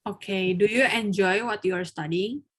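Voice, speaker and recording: A woman's voice: medium pitch, normal speed, a bit bright, husky. Young woman, student, mock speaking test, hesitant, many fillers. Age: young adult. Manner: hesitant, frequent filler sounds. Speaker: test candidate, then examiner.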